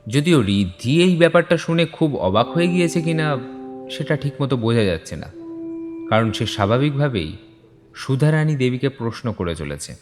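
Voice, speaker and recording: A man's voice: medium pitch, lively intonation, brisk. A man narrating a scripted audio story as its storyteller. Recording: long reverb on the voice. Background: faint music.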